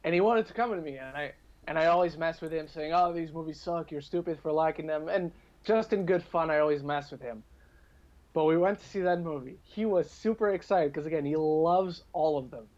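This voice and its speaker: High-pitched man chatting, slightly dull recording. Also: fairly quick, a little mellow. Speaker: podcast guest and film reviewer. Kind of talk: casual film chat.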